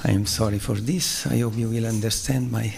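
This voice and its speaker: A man from southern Switzerland whose first language is Italian, giving a spiritual lecture at a temple in English with an Italian accent. He speaks haltingly, pausing, using fillers and clearing his throat. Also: on the low side, fairly even intonation, a little mellow, a little hiss or hum. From Ticino, southern Switzerland. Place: temple hall.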